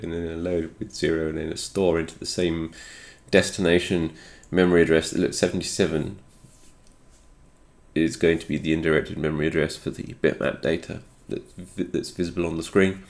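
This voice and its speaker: A man's voice: sits low, steady tone, moderate pace, gravelly, a little mellow. A man with a slightly congested voice, recovering from a cold, thinking aloud through an unscripted technical walkthrough. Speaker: host of a retro game programming video.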